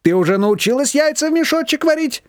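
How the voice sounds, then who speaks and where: A man's voice: extremely high pitch, lively intonation, quick pace, no room sound, clean studio sound, voice pushed up. A man playing a stern, nosy neighbor woman. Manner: stern, curt.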